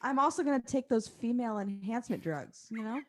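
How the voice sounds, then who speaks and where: A woman's voice: a little high, expressive, a little fast, crowd noise. A woman guest-hosting a comedy podcast with casual, joking banter.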